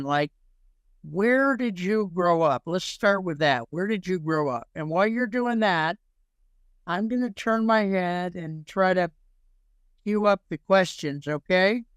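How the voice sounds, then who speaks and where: Guy hosting an informal interview, pretty high smooth voice, jokey, rambles and repeats stuff, dry sound. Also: a bit bright, no room sound. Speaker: show host interviewing a guest.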